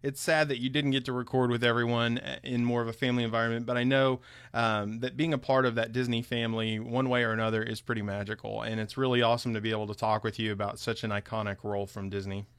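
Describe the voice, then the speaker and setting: A man's voice: mid-range voice, little pitch movement, quick pace, fairly bright tone, clean tone, no echo, studio quality. A man hosting an interview, encouraging and upbeat with his guest. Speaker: interviewer host. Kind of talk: casual interview chat.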